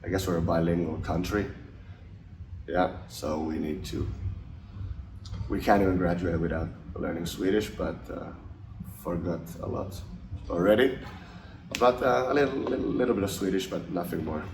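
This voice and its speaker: A young man from Finland, a hockey forward, answering interview questions in a relaxed, hesitant way with many fillers and restarts. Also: low voice, moderate pace, creaky. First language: English and Finnish. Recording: roomy, distant mic, noisy.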